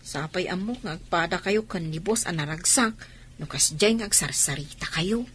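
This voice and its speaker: A woman with a Filipino accent playing a sympathetic, inquisitive young cousin in a radio drama, expressive and confiding. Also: fairly low pitch, moderate pace, slight background noise, close to an everyday voice.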